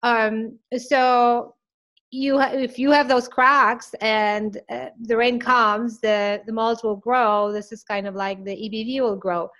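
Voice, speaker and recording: A woman with a fairly high, slightly scratchy voice speaks as a podcast guest. She explains patiently, with some hesitations, and clears her throat now and then. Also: fairly even intonation, slow, fairly bright tone. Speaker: guest expert. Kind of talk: podcast interview.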